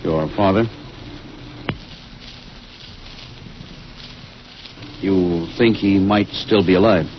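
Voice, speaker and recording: An actor playing a steady veteran starship captain, brusque but humane, in 1950s radio drama style. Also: male voice, deep voice, moderate pace, a little hiss or hum, close to an everyday voice. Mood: firm, sympathetic. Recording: dull vintage radio recording, loud background sound effects.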